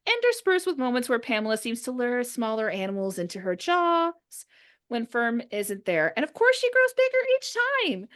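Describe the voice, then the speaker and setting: A woman reads scripted stage directions as narrator of an audio drama. Her voice sits a little high. Her intonation moves up and down animatedly. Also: very animated, normal speed, a bit bright.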